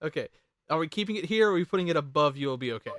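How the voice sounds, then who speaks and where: A host in a casual group chat, talking in an animated, rambling way with some swearing. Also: medium pitch, racing, dry recording, studio quality.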